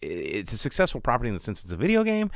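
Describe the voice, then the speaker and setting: Animated, opinionated man riffing casually. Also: mid-range voice, very fast, gravelly, no room sound, clean studio sound. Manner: animated, opinionated, slangy and sweary, acts out imagined quotes. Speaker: host of a live movie talk show. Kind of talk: casual live opinion monologue.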